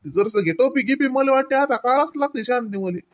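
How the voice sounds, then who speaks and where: A man's voice: very high-pitched, fairly quick. A man playing a playful older village husband and father in a comedy cartoon, with a Varhadi Marathi accent and a folksy, rambling, mock-complaining way of talking. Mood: amazed, mock-complaining. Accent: Varhadi Marathi from Vidarbha.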